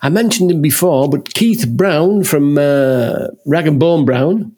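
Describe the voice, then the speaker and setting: A husky, slightly breathy man chatting casually as a podcast co-host. He laughs a lot and keeps checking in with a quick "huh?". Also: fairly high pitch, dark tone. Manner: chatty, laughs often, tags lines with "huh?". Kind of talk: casual chat.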